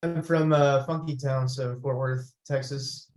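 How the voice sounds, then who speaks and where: A man's voice: medium pitch, fairly even intonation, unhurried, a little mellow, echoey room. A man calling in to a dating show, matter-of-fact as he answers. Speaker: caller. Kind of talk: casual chat.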